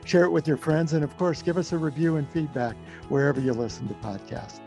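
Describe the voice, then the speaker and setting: Higher-pitched male podcast host over music. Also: a little fast, gravelly, mellow. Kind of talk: podcast interview hosting.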